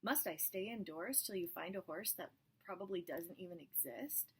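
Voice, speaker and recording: A twelve-year-old king snaps back at his minister, sulky and impatient, as a woman reading aloud puts him on. The voice is breathy and youthful. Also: fairly low pitch, animated, moderate pace, full character voice. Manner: sulky, retorting.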